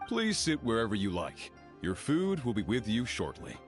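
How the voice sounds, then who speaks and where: Businesslike, proud male chef for a video game; lowish pitch, expressive, emphatic delivery. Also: normal speed, soft background music. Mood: obliging.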